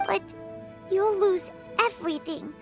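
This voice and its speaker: A woman voicing a tiny, excitable, anxious childlike fairy companion in a video game, with a squeaky voice and fretful, emphatic delivery. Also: unhurried. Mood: distressed, fretful. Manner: fretful, emphatic, talks about herself in third person. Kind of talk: English video game voice acting. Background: loud game music.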